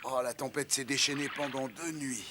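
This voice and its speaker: A man's voice: medium pitch, steady tone, moderate pace, background noise, close to an everyday voice. A man voicing a fearful, weary sailor in a French cartoon dub, speaking with uneasy drama.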